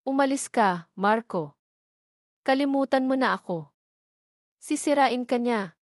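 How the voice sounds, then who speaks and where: A woman's voice: high voice, lively intonation, a little slow, dry recording, studio quality, pitched above the performer's own voice. A woman narrator voicing a proud, wounded young heiress in an audio love drama, restrained and resolute. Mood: urgent.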